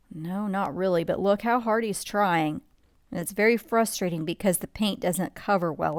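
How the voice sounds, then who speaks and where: A woman's voice: fairly low pitch, a little mellow, dry recording, clean studio sound. A woman reading a picture book aloud as a bedtime read-along, adding conversational asides and questions to the listener. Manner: unhurried, conversational, points out details and asks the listener questions.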